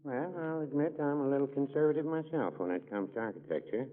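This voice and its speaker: An actor playing a meek, hesitant small-town druggist in a 1940s radio comedy, halting and folksy. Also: male voice, mid-range voice, natural voice. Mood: mild, dry. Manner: halting, drawn-out, folksy, noncommittal. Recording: muffled 1940s radio recording, studio audience.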